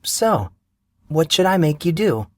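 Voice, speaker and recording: A man voicing a playful, teasing high school girl who bosses her friend around. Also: very animated, a little slow. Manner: teasing, bossy.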